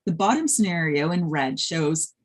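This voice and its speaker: Husky middle-aged woman presenting online. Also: low voice, lively intonation, normal speed. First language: Polish. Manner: friendly, filler-heavy, with frequent restarts. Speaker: conference speaker, industry researcher. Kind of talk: presenting slides at an online conference. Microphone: video call.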